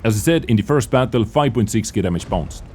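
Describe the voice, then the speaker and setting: A man's voice: lowish voice, lively intonation, brisk, darkish tone, slight background noise. A man who speaks English as a second language, giving an analytical, conversational voice-over commentary on his own gameplay. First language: not English. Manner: analytical, conversational, plain-spoken. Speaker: gaming channel host.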